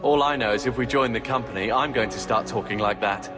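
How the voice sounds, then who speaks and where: A man's voice: medium pitch, fast, big-room sound, natural voice. A man voicing a young younger brother with a dry, teasing wit. A faint game score plays beneath him. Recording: game audio with music behind.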